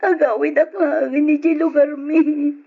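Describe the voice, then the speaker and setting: Guilty wife and mother, desperate, imploring, very high voice, Ilocano accent, muffled old radio soap. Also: level tone, measured pace. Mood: despairing, remorseful. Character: remorseful unfaithful wife and mother, pleading, desperate. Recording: dull lo-fi old radio recording.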